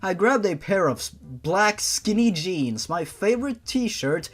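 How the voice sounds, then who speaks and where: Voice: mid-range voice, sing-song, a little slow, slightly rough. A narrator reading fan fiction dramatically, with a theatrical, sarcastic manner. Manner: theatrical, sarcastic, sweary outbursts. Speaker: narrator and commentator.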